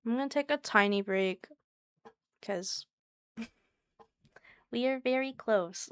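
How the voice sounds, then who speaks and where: A woman streaming live and chatting easily with her viewers by name. Her soft, soothing voice sounds smooth and clear. It is recorded dry and close, with no room sound. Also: on the high side, slow, dry recording. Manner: chatty and giggly, talks to chat by name.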